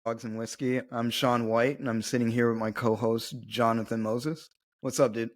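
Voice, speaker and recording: Brief: relaxed male podcast host with an ordinary voice and an easy manner. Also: mid-range voice, moderate pace, soft background music. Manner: easygoing, laughs often. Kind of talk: casual podcast interview. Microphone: video call.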